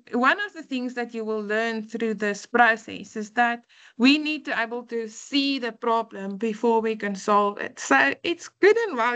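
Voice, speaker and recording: Afrikaans accented woman lecturer, earnest, lots of ums, talks slow and bright. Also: on the high side. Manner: earnest, explanatory, frequent fillers. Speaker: university lecturer. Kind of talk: online orientation class.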